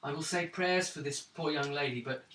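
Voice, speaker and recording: Man putting on a kindly fretful priestess, fussing, worried, slightly raised pitch, echoey room far from the mic. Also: normal speed, fairly bright tone, a little hiss or hum, lightly acted.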